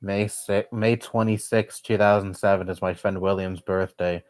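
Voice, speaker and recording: Young male streamer, low-pitched, blunt chatty rambling, dry close mic. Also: flat delivery, moderate pace, darkish tone, no echo. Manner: blunt, swears often, rambling opinions. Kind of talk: casual live-stream chat.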